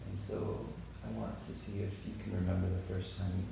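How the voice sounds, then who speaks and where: Very deep, gravelly male interviewer. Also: fairly quick, very echoey, recorded from a distance, noisy background. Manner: calm, brief prompts and reactions. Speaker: interviewer, host. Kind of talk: casual interview.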